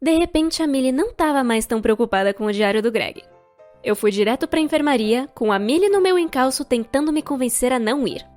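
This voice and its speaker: A Brazilian woman with a high-pitched voice tells a scripted storytime tale. Her delivery is expressive and theatrical with a sarcastic edge, and faint music plays underneath. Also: brisk. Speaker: narrator. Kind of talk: scripted animated storytime narration, fan dub.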